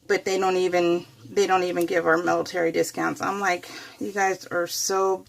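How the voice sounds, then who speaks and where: A woman's voice: on the low side, level tone, moderate pace, creaky. A middle-aged woman with an American accent chatting casually and in a rambling, exclamatory way, as a craft vlogger does while working. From United States.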